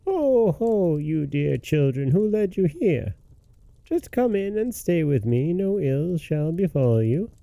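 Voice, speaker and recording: A man's voice: a little high, big pitch swings, slow pace, creaky, warm tone, dry recording, voice pushed up, heavily acted. A man voicing a wicked old witch in a storybook tale, with a bossy, jeering manner. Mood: falsely sweet. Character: wicked old witch, falsely sweet, scolding and menacing.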